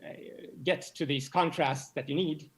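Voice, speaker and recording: A man explaining science as an interview guest, halting and often repeating words as he goes. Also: a little high, steady tone. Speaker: astronomy professor, interview guest.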